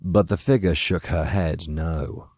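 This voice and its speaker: A man narrating a dramatic audiobook from a script, with measured, literary storytelling. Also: low-pitched, fairly even intonation, darkish tone, no room sound, studio quality.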